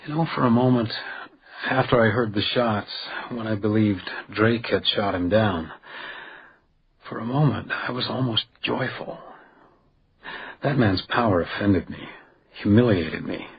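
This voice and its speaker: An actor playing a shrewd, defiant house detective, speaking in a precise, dryly wry way in a radio crime drama. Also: male voice, on the low side, a little slow, gravelly, pauses often, husky, dull audio, heavily acted. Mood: reflective.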